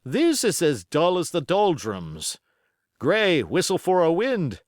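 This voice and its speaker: A man voicing a brisk, gruff sea captain in an audiobook, barking terse orders. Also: fairly high pitch, wildly swooping pitch, no room sound, clean studio sound, pitched above the performer's own voice, heavily acted. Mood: gruff, impatient. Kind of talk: audiobook character acting.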